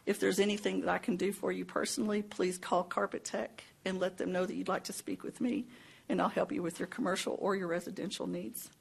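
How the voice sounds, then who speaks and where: A woman representing a cleaning business, giving friendly public remarks at a city council meeting, with a squeaky, husky voice. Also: steady tone, a little fast.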